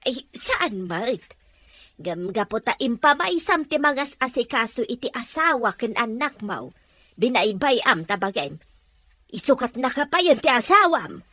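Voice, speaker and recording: A woman playing a bright, nagging mother. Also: fairly high pitch, sing-song, measured pace, no echo, heavily acted. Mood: teasing. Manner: scolding, nagging. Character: scolding middle-aged mother, blunt and pushy. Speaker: mother of the young wife. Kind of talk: Ilocano audio drama acting. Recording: dry close mic under music.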